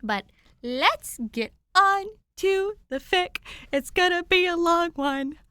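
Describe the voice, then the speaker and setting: A young adult woman reading fan fiction aloud in an expressive, informal storytelling style. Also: very high voice, slow pace, dry recording. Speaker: podfic reader.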